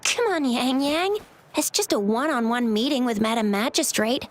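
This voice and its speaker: A woman voicing an excitable, teasing, cheerful young companion in a video game, playful and emphatic. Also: a little high, moderate pace, faint music behind, close to an everyday voice. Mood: teasing, breezy.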